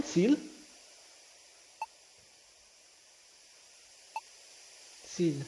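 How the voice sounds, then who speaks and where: A game player voicing a friendly, excitable young creature from a fantasy adventure, chatty and expressive. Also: mid-range voice, a little hiss or hum, voice pushed up, poor audio. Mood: cheerful.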